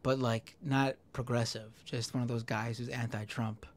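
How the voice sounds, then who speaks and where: A man chatting casually as a podcast guest and commentator, in a halting, self-correcting way. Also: medium pitch, level tone. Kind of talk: casual chat.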